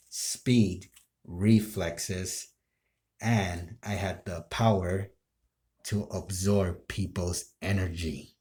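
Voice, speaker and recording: A man's voice: low voice, a little mellow. A man casually explaining his anime role play in a rambling way, repeating himself as he goes. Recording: echoey room.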